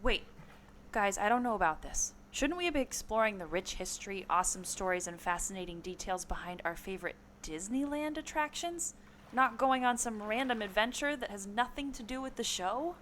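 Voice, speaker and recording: A woman acting a skeptical but game adventuring companion in a scripted audio play, expressive and questioning. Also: mid-range voice, normal speed, slight background noise, lightly acted.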